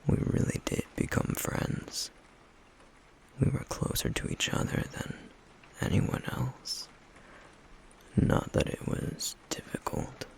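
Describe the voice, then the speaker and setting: A man playing a stoic, guarded, wounded flame demon in an intimate ASMR roleplay, speaking in a breathy, gravelly near-whisper with a slow, brooding, wryly teasing delivery. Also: a little mellow, slight background noise, natural voice, rough recording. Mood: bitter, wistful.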